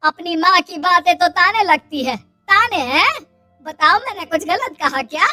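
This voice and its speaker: A woman's voice: extremely high pitch, expressive, unhurried, sharp tone, faint music behind, heavily acted. A woman playing a nagging, scolding middle-aged mother in a cartoon family drama. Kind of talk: Hindi cartoon dialogue.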